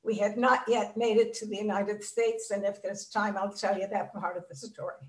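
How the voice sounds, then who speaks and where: An older woman from Czechoslovakia, a Holocaust survivor, telling her life story in measured, reflective speech with pauses, on a video call. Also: mid-range voice, level tone. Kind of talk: personal testimony in an interview. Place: home room. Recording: echoey video call audio from a distance.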